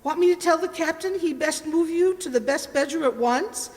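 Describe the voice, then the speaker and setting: A woman's voice: very high-pitched, moderate pace, darkish tone. A woman playing a bossy, sarcastic cook in old London in an amateur radio play. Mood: mocking. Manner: bossy, mocking, scornful. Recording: echoey hall, long reverb.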